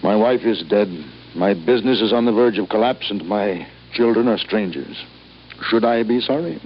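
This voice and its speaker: A man's voice: lowish voice, moderate pace, natural voice. An actor playing an ailing, embittered old businessman, formal, curt and emphatic in a radio drama. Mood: bitter resignation. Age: older. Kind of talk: 1950s radio drama acting. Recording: muffled, noisy old radio recording.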